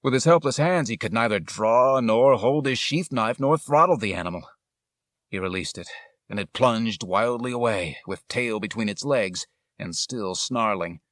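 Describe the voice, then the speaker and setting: Male audiobook narrator, medium pitch, deliberate and dramatic storytelling delivery, recorded dry and close. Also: animated, fairly bright tone, no room sound. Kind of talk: scripted literary story narration.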